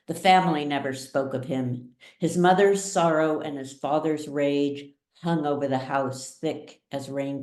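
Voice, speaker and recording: Woman narrator, slow deliberate pace, dramatic fiction reading, roomy echoing recording. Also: deep voice, mellow. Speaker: story reader. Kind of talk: dramatic scripted narration of a novel. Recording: echoey room.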